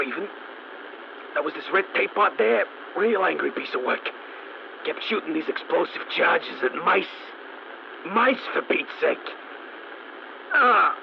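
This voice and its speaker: Tired stranded mechanic talking into a log recorder, dry jokes, muffled lo-fi sound with hissy static. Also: male voice, high-pitched, creaky, full character voice. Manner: wry, dry humor. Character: stranded wisecracking male mechanic, weary and resourceful. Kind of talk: recorded audio log diary.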